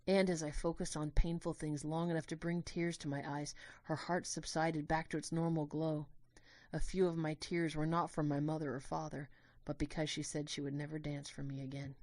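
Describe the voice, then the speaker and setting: A woman giving a dramatic first-person narration of a scripted story, in a measured, literary and expressive way. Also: low voice, dry recording.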